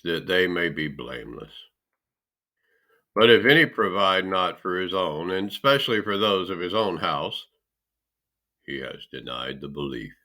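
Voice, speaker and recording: Very deep, gravelly man reading scripture. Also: pushed and bright. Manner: emphatic, deliberate phrasing with pauses. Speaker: scripture reader. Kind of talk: solemn Bible reading aloud.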